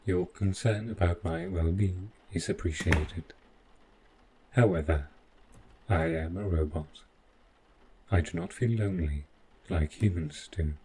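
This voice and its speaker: A man's voice: low voice, measured pace, long pauses, dark tone, a little hiss or hum, rough recording. A man playing a polite, devoted robot butler in an audio drama, speaking in a formal, stiffly precise and deferential manner. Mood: calm, attentive. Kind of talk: scripted roleplay audio drama.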